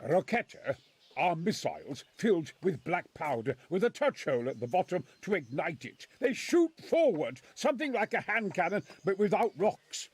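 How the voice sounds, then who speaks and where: An actor playing a nervous, middle-aged military engineer and former crusader in a video game, speaking earnestly in formal, old-fashioned phrasing. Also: male voice, sits high, sing-song, normal speed, slightly rough, full character voice. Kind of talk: dramatic video game acting.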